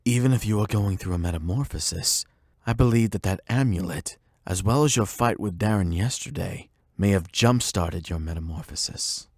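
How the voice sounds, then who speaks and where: A man voicing a calm, erudite therapist in an anime audio roleplay, speaking in a measured, formal, gently probing way. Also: on the low side, dry recording, clean studio sound. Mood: measured, explanatory. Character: calm, erudite male therapist and demi-human expert.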